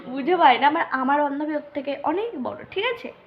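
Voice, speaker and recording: A young woman chats like a casual vlogger. She tells her story in a teasing way, often pausing to check she is being followed. The recording is dull and lo-fi. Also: high-pitched. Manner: animated teasing, repeated check-in questions.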